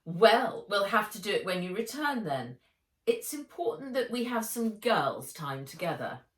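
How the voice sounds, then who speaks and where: A woman's voice: medium pitch, very animated, unhurried, pitched above the performer's own voice. A woman voicing a bossy, snooty middle-aged aunt with a cold, condescending, insistent manner in a storybook reading. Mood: sniffy. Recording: roomy echo, distant microphone.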